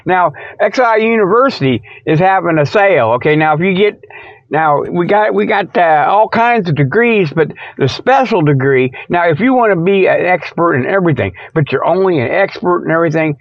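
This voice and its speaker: A man hosting a radio show, delivering a rambling, irreverent comic monologue with mock sales pitches in a folksy, tangent-prone way. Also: animated, fairly quick, dry recording. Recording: dull lo-fi radio sound.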